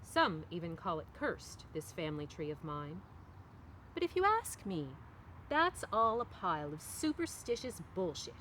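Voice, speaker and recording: A woman's voice: fairly low pitch, very animated, unhurried, a bit bright. Middle-aged woman reading aloud expressively outdoors. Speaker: author reading from their novel. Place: outdoors on a park bench among trees. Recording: faint outdoor background noise.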